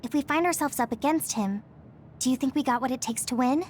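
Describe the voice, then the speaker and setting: A woman's voice: high voice, a little fast, slight background noise, lightly acted. An actress playing an anxious young female teammate in a video game cutscene. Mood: worried. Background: music.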